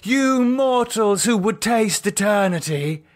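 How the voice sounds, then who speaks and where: A man's voice: sits high, lively intonation, slow, voice pushed up. A man voicing a commanding female vampire cult leader in an audiobook, declaiming in a ceremonial, exultant manner. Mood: triumphant invitation.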